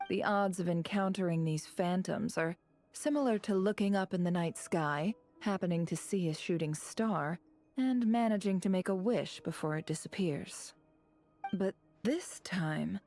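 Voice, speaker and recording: Woman playing a mellow, knowing information broker. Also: mid-range voice, lightly acted. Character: composed, shrewd woman who brokers intelligence. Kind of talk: video game voice acting.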